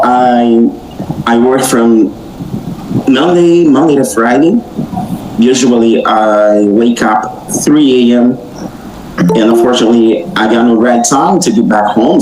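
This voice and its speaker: Slow, sleepy Brazilian man in his forties. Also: mid-range voice. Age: 40s. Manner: hesitant learner's English. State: sleepy, tired. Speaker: English learner in a group meeting. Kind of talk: casual self-introduction. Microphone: video call. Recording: echoey online call, faint noise.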